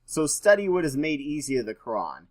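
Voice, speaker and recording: A man's voice: a little high, very animated, a little fast. A man reading aloud and adding casual, skeptical and irreverent off-the-cuff commentary. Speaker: host reading and commenting on scripture.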